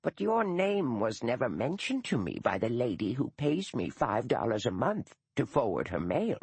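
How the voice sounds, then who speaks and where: A man voicing a stern, dour elderly woman, a retired schoolteacher who speaks slowly with prim, precise diction. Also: medium pitch, wildly swooping pitch, vocal fry, a little mellow, breathy edge, no room sound, voice pushed up, heavily acted.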